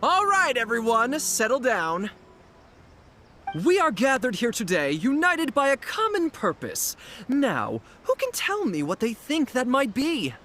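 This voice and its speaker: A woman's voice: fairly high pitch, huge pitch swings, unhurried, fairly bright tone, heavily acted. A woman voicing a fervent, gushing fan club leader in an anime video game, preaching theatrically. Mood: commanding. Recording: faint game music behind.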